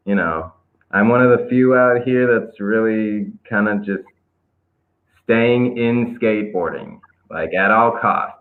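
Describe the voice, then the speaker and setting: Laid-back man on a tinny call line. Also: fairly low pitch. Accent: American-influenced English, Israeli. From Israel. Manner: laid-back, hesitant with many fillers and restarts. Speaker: guest skateboarder. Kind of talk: casual interview chat.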